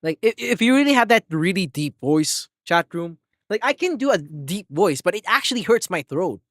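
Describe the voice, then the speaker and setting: A man live streaming, chatting casually to his viewers in an excitable, rambling way with lots of restarts. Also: high-pitched, moderate pace, dry recording, clean studio sound. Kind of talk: casual chat to a live audience.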